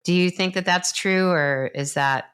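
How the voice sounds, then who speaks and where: Woman, 50s, low-pitched, enthusiastic physician-host who explains clearly. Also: a little slow, a bit bright. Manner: enthusiastic, explains science plainly, laughs often. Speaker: doctor, podcast host. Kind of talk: casual conversation.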